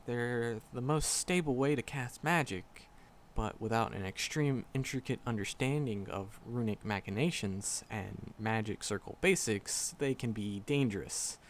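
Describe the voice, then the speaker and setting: A man acts out a bashful male magic professor in an intimate roleplay drama. His medium-pitched voice moves slowly and haltingly, full of nervous stammers and self-conscious rambling. A slight background noise sits under the recording. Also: lightly acted. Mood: shy, rambling. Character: shy, flustered male magic professor with a secret crush. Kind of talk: roleplay audio drama, intimate one-sided dialogue.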